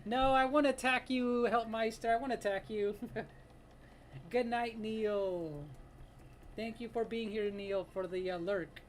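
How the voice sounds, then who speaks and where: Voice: mid-range voice, steady tone, measured pace, a little hiss or hum. A streamer in their late thirties chatting casually with viewers while drawing live, laughing often and greeting people by name. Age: 30s. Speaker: live-stream artist host.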